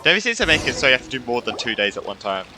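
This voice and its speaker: A man giving casual, exclamatory playthrough commentary as an excitable game streamer. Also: medium pitch, racing, over music. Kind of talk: casual playthrough commentary, reading lines along.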